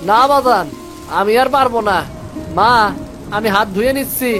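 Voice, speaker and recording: A man's voice: very high-pitched, normal speed, loud music behind the voice, voice pushed up. A man voicing an eager, dutiful young village son in a cartoon, with an earnest manner. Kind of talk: animated moral story acting.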